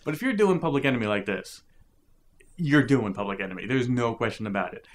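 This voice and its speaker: A man in casual commentary on old hip hop records, talking loosely and thinking aloud as he goes. His pitch sits in an ordinary middle range for a man. He pauses often with fillers. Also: lively intonation. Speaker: music reviewer.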